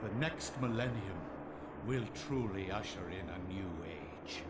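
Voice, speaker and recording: An actor playing a sinister sorcerer who serves a dark master, speaking in a grandiose, ceremonial way in a video game. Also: male voice, low voice. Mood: reverent, ominous. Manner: slow, grandiose, ceremonial delivery. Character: sinister male sorcerer servant reporting to his dark master. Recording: echoing voice over loud ambient noise.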